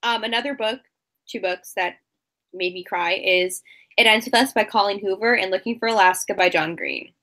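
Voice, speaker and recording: A woman's voice: mid-range voice, moderate pace, pushed and bright. A woman author joining a casual book chat over a video call as a guest, easygoing, with short agreeing replies and light laughs.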